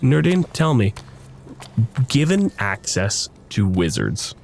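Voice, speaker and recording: A man's voice: mid-range voice, very animated, slow pace, gravelly, a little mellow. A man improvising tabletop game narration as game master in a casual, wry, conversational way.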